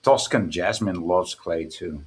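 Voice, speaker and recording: A man's voice: low voice, unhurried, husky. A man hosting a livestream with casual chat, hesitant and often repeating his words. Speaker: livestream host.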